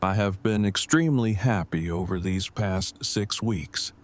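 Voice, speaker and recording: A man's voice: sits low, a little slow. A man acting an earnest, wordy boyfriend in an audio drama, speaking in formal, precisely worded, stilted phrases. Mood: earnest, content.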